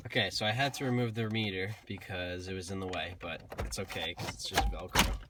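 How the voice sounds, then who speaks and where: A man's voice: sits low, steady tone, a little fast. A man giving a casual, plainspoken step-by-step tutorial, explaining each step as he works. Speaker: DIY tutorial host. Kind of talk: casual step-by-step how-to narration. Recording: clearly noisy hobby video with clicks.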